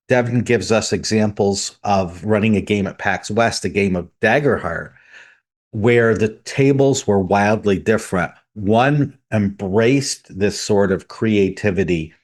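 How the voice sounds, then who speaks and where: A man co-hosting a tabletop gaming podcast, methodically laying out his points one by one in casual discussion. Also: on the low side. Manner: methodical, lays out numbered points. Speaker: podcast co-host.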